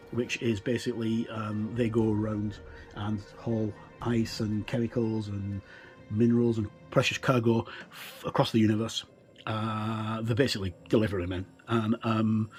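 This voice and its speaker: A man's voice: fairly low pitch, flat delivery, a little slow, a little mellow, faint music behind. A middle-aged man giving a casual, unscripted walkthrough as a reviewer, explaining things informally with frequent hesitations. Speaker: tabletop game reviewer.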